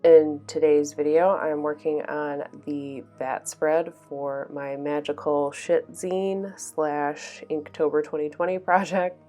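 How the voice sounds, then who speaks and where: A woman's voice: deep voice, little pitch movement, slow, hardly any pauses, warm tone, faint music behind. A young woman with a cold and a nasal voice, chatting casually in a voice-over while she paints, with lots of ums and small laughs. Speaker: art channel host.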